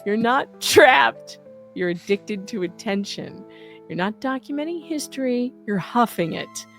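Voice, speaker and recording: A woman's voice: on the high side, animated, unhurried, soft background music. A woman reading out a scripted satirical roast as an online host, with a sarcastic, punchy, emphatic delivery.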